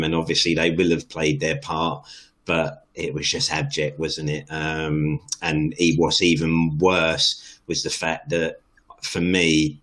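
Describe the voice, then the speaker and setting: A man's voice: sits low, a bit bright. A man co-hosting a football podcast, rambling on with lots of "didn't it?" tag questions. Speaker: podcast co-host. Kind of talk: casual football podcast chat.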